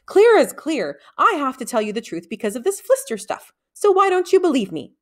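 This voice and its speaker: A woman's voice: high voice, sing-song, a little fast, pitched above the performer's own voice. A woman voicing a cheeky, frank and stubborn young boy thief in a storybook. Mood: earnest, insistent.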